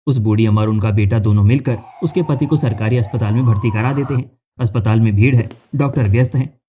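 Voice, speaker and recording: A man's voice: on the low side, quick pace, mellow, a little hiss or hum. A man reading scripted narration for a cartoon story.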